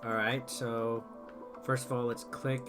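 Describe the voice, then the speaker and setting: Man, mid-pitched voice, game streamer, casual commentary, playful, reads screen text aloud, game music behind. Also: little pitch movement, slow.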